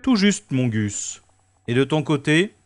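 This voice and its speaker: An actor playing a wry, world-weary special agent in a science-fiction audio drama, with deliberate, slightly pompous phrasing. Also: male voice, medium pitch, sing-song. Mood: wry, confident.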